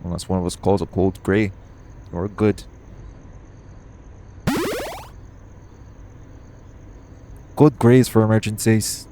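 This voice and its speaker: A man's voice: lowish voice, moderate pace, background noise, close to an everyday voice. A man playing a cocky, teasing, foul-mouthed hitman in an audio roleplay. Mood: reassuring. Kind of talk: audio roleplay acting addressed to the listener.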